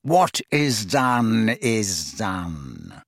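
A man voicing a cold, smooth, condescending double-agent wizard in a storybook reading, deliberate and quietly sarcastic. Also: mid-range voice, sing-song, dry recording, clean studio sound, full character voice. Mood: smooth, cutting.

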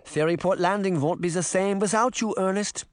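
A man voicing a kindly old grandmother, brisk and encouraging, in a children's storybook reading. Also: high-pitched, dry recording, clean studio sound, pitched above the performer's own voice, natural voice. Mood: warm.

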